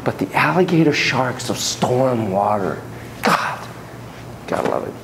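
Gravelly older man lecturing a class. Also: mid-range voice, a little slow, background noise. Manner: animated, chatty, quoting clients in a raised voice. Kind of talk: university lecture. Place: classroom with students. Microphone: far camera at the back of the room.

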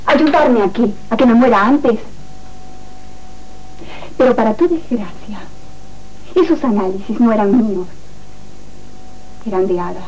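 Actress as wounded young wife, soft mellow tone, fairly high, ironic, telenovela drama, slight tape noise. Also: female voice, a little slow, pauses often, close to an everyday voice. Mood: bitter, sarcastic. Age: young adult. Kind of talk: telenovela acting. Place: indoor TV studio set. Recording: noisy old TV archive tape.

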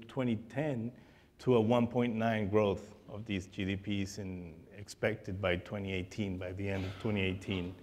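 A middle-aged man on a conference panel, speaking in a measured, hesitant way with many fillers, on a lapel mic. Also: fairly low pitch, a little mellow, big-room sound. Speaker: nonprofit director, panelist. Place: indoor conference stage with audience.

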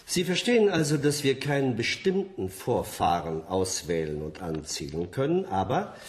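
An actor playing an assured doctor in a radio play, explaining things with emphasis. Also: male voice, on the low side, sing-song, normal speed, reverberant.

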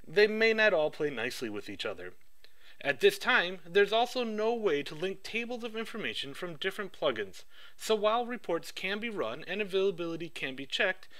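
A man giving a rehearsed project pitch with an upbeat sales-pitch delivery. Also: fairly bright tone.

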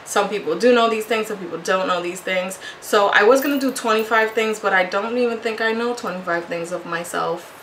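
A woman's voice: medium pitch, fairly quick, a bit bright. A woman in her thirties from Puerto Rico, a native Spanish speaker, telling personal stories casually and candidly to the camera. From Puerto Rico, moved to Connecticut as a child. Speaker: video blogger. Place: small home room, indoors. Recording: echoey room, faint background noise.

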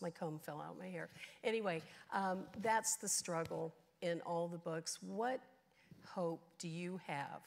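Slow, low-voiced older woman moderator. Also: creaky. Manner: halting, many fillers. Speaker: session moderator. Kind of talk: moderating a panel. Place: large auditorium stage.

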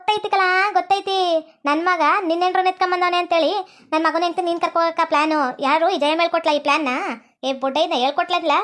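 A woman playing a shrill, scolding old village mother-in-law. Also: fairly even intonation, quick pace, a bit bright. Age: older. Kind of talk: comedy skit acting.

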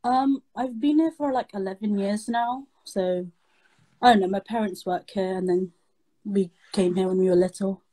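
A woman's voice: mid-range voice, moderate pace, warm tone, rough recording. A young Filipino woman with a British accent, chatting easily as a guest on a video call. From Philippines. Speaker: livestream guest. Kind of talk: casual video call chat. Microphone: video call on phone.

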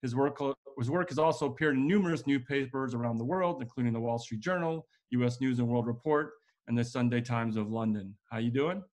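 Brisk young man reading introductions. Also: mid-range voice, a little mellow. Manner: brisk, scripted, upbeat. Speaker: host introducing panel. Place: home room with plants. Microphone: video call.

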